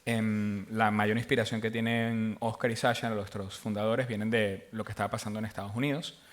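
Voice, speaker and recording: A man from Venezuela speaking as a panel guest, answering at length in a halting way with many fillers and restarts. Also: on the low side, flat delivery. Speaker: panel guest, tech executive.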